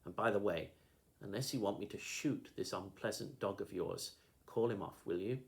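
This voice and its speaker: Guy reading as a cold bossy crook, threatening a kid, lowish voice. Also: normal speed, creaky. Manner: cold, commanding. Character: menacing adult male kidnapper, cold and threatening.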